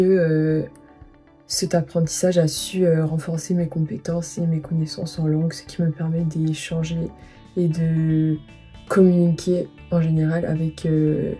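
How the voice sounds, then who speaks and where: A young adult woman, a former student, talking hesitantly with many pauses and fillers. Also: deep voice, mellow. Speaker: former student giving a testimonial.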